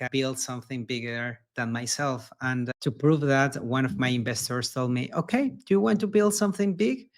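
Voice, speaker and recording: Mexican-accented founder, earnest and repetitive. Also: male voice, medium pitch. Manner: earnest, repeats words, non-native phrasing. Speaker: startup founder guest. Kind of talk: casual interview answers.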